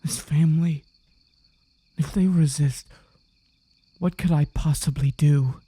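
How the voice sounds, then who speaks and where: An actor playing a young, dutiful but uneasy Puritan sheriff in an audio drama, with a hesitant, deferential manner. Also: male voice, on the high side, fairly even intonation, takes it slowly, mellow. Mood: anxious, pleading. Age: young adult.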